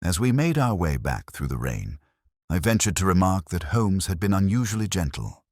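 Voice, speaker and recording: A man narrating a detective story in a measured, literary manner. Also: sits low, very animated, a little mellow, no echo, studio quality. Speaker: audiobook narrator.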